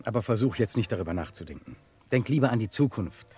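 An actor playing a steady, brisk detective who stays in control, in a crime radio play. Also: male voice, fairly low pitch, husky, faint music behind. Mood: consoling, encouraging.

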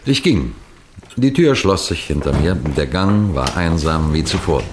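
A man playing a composed, clever police detective, recounting a case in measured, precise radio mystery narration. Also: very deep voice, expressive, noisy background. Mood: measured, suspenseful. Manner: measured, precise, deliberate storytelling.

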